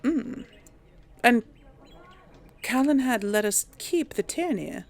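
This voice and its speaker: A woman's voice: a little high, lively intonation, slow, vocal fry. A woman hosting a role-play gaming video, musing aloud in casual, chatty commentary and reading out dialogue choices. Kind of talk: casual game commentary mixed with in-character dialogue.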